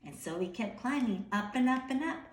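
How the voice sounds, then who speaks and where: A woman reading a children's story aloud expressively, with dramatic emphasis and some hushed phrases. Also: fairly high pitch, normal speed, roomy sound. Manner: animated, dramatic, dropping into hushed breathy phrases.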